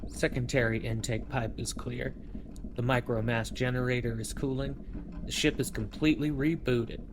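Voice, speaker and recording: An actor playing a dependable ship engineer, giving brief, matter-of-fact reports. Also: male voice, medium pitch, fairly even intonation, moderate pace, background noise, lightly acted.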